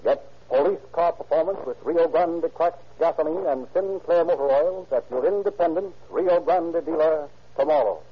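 A man narrating a 1930s radio drama and reading its sponsor ads in a measured, dramatic manner. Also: fairly high pitch, background noise. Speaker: narrator and announcer. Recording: muffled 1930s radio recording.

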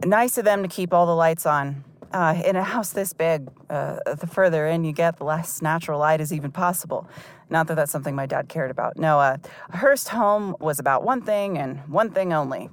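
A woman playing a shaken, hesitant character exploring a dead parent's house in a naturalistic audio drama. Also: on the low side. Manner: hesitant, halting, nervous. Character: shaken grown child of a dead rich father, exploring a childhood home.

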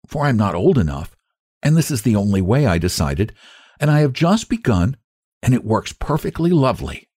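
A man puts on an earnest little storybook girl. The voice is lifted high and a bit husky, polite and guileless, sweetly matter-of-fact as it swings about. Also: darkish tone, no room sound, studio quality. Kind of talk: storybook character voice.

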